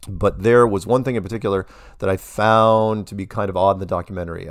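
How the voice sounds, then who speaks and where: A man's voice: low-pitched, no room sound, studio quality. A man hosting a show, reading listener emails aloud and commenting casually with wry asides.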